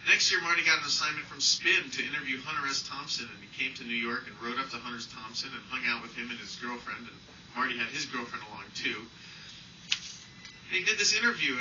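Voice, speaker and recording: A man's voice: mid-range voice, a little fast, pushed and bright. A man who is an author reading a personal essay aloud live, with a wry, self-deprecating manner, recorded from a distance indoors. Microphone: distant from the speaker. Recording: distant, roomy, muffled, noisy live recording.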